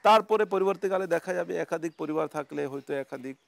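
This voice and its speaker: Creaky, close-miked West Bengali man. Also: on the high side, fast talker, no room sound. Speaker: district government official. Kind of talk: explanatory address to the public.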